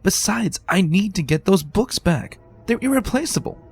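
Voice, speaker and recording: A man's voice: high-pitched, expressive, normal speed, gravelly, slightly rough, soft background music, pitched above the performer's own voice, full character voice. A man voicing a bookish, determined young female unicorn pony in a fan reading, earnest and anxious. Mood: insistent.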